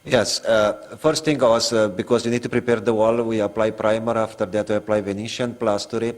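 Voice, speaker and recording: Romanian-accented man, low voice. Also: steady tone. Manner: halting non-native English with many "uh" fillers. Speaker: guest artist, iconographer. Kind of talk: interview on location.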